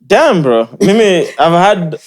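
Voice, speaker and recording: Kenyan podcast host, very lively pitch, relaxed and jokey, mixes in slang. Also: slow. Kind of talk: casual podcast chat.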